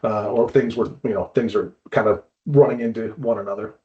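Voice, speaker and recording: A man speaks as an expert guest in an informal interview. He explains things conversationally, haltingly, circling back over his words. The audio is somewhat dull and lo-fi. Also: fairly low pitch, fairly even intonation, mellow. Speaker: guest climbing wall consultant.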